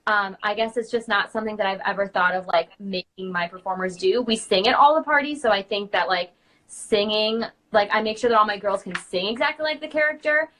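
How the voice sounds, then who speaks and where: A woman's voice: mid-range voice, fairly quick, a bit bright, low-quality recording. A young woman hosting a casual live chat, talking in an informal, effusive, chatty way. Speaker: host, princess performer.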